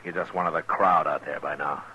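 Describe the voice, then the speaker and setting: An American actor playing a watchful, lonely frontier marshal in a radio western, with terse, measured delivery. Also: male voice, very deep voice, fairly even intonation, sharp tone, exaggerated voice. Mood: calm, terse. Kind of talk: 1950s radio acting. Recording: muffled, noisy 1950s radio recording.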